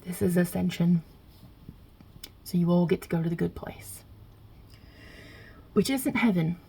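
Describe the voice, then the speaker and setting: A woman voicing God as a wry, touchy Italian mob boss in an ASMR roleplay, with a husky, breathy voice and a put-on Italian-American New York accent. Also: on the low side, measured pace, exaggerated voice. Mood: wry, frank. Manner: casual, wry, chatty, with softly murmured asides.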